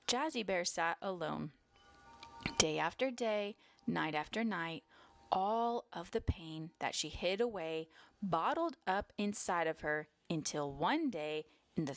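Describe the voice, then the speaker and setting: A woman reads a children's story aloud. Her voice sits a little low and she takes her time, phrasing each line deliberately and expressively. The recording is dry and close. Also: no echo. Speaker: storybook narrator. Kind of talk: children's read-aloud, scripted narration.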